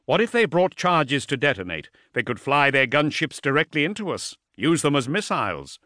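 A man's voice: fairly high pitch, big pitch swings, moderate pace, pushed and bright, no echo, studio quality, pitched above the performer's own voice. A man voicing a suspicious, dutiful military commander in an audiobook, urgent yet deferential. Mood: wary, urgent.

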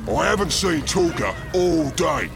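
A man playing a small put-upon orc underling in an audio drama, whiny and complaining, with an English accent and a creaky voice. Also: a little high, very animated, measured pace, over music, full character voice. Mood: worried.

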